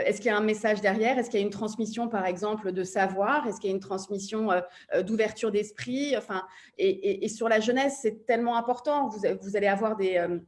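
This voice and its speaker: A woman speaking conversationally as a panelist, often repeating words as she thinks aloud. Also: medium pitch. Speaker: panelist, audio fiction publisher.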